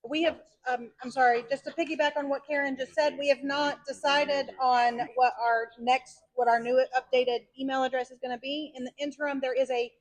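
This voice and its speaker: A woman bantering at a theater members' meeting, joking and quick to apologize. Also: high voice, steady tone, low-quality recording. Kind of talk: casual chat at a meeting.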